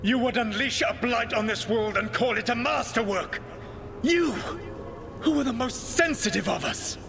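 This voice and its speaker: An actor playing a stern, burdened elven god in a video game, speaking in a grave, formal, measured way. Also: male voice, extremely high pitch, gravelly, fairly bright tone, soft background music, full character voice. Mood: outraged. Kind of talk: dramatic video game acting.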